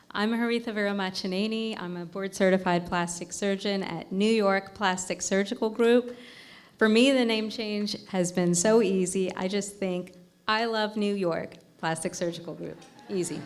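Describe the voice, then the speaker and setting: A woman surgeon giving an upbeat, slightly scripted introduction of the next speaker at an event. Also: medium pitch.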